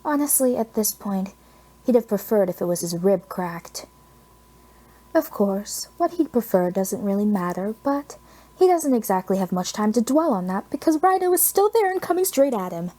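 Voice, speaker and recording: A woman reading scripted fiction as an audiobook narrator, in an expressive storytelling manner. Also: medium pitch, normal speed, rough recording.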